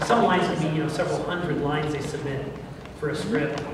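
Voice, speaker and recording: A man's voice: on the high side, steady tone, brisk, very noisy. A man hosting an informal question-and-answer panel at a convention. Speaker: audio drama producer and panel host. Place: large indoor convention room with audience. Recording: echoey crowded hall, distant camera mic.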